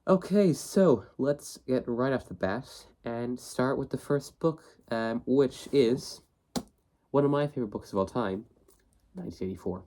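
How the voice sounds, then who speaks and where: A man's voice: mid-range voice, animated, a little slow, warm tone. A young man with a cold, sniffly, hosting a casual book video, rambling with many fillers and restarts. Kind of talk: casual talk to camera.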